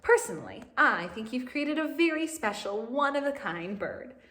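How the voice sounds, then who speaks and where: A woman's voice: high voice, very animated, moderate pace, fairly bright tone, reverberant, pitched above the performer's own voice. A woman voicing a kind, earnest young princess in a children's storybook, speaking expressively. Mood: encouraging.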